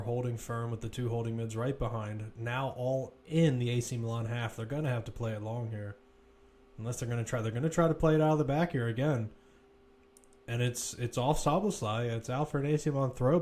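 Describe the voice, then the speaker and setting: A man giving live play-by-play football commentary as a commentator, measured but lifting when attacks build. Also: medium pitch, darkish tone.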